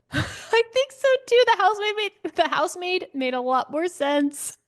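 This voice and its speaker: A woman's voice: very high-pitched, expressive, unhurried. A woman co-hosting a book podcast, chatting casually and expressively, readily slipping into quoted lines. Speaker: book club podcast co-host. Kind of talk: casual book discussion chat.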